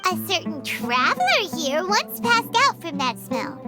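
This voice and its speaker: A woman's voice: extremely high pitch, sing-song, measured pace, heavily acted. A woman voicing a tiny, chatty floating sprite companion who talks about herself in the third person, in a game cutscene. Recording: game cutscene with loud music behind.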